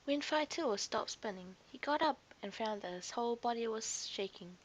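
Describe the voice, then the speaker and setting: A woman's voice: fairly high pitch, lively intonation, normal speed, a little hiss or hum, low-quality recording. A woman narrating a scripted children's story expressively, as a storyteller to young listeners.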